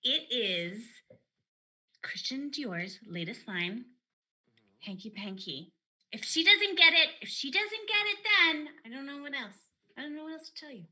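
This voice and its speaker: A pushy, eager shop clerk chatters in a high, grating voice, as a woman puts her on. She rambles and stumbles, bright and keen. It sounds like a video call. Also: lively intonation, a little slow, exaggerated voice. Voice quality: annoying high-pitched voice.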